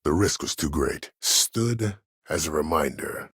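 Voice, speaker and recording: An older man acting a video game role: a cunning, proud old disgraced admiral who speaks in a measured, formal, husky voice with quiet menace. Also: lively intonation, dry recording, studio quality, heavily acted. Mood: defiant, measured. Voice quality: husky, very deep. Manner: measured, formal, self-assured. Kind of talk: video game character dialogue.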